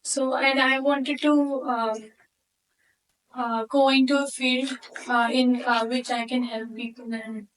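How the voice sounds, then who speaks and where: A woman speaks earnestly and with some hesitation. Her voice is fairly high with little pitch movement, heard from across a room. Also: level tone, takes it slowly, far from the mic, rough recording. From India. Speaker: workshop participant.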